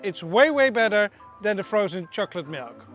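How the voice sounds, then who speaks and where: Woman, English speaker, casual news vlog host, middle pitch, chatty, opinionated. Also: big pitch swings, normal speed, soft background music. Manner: chatty, opinionated, small slips and restarts. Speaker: theme park news presenter.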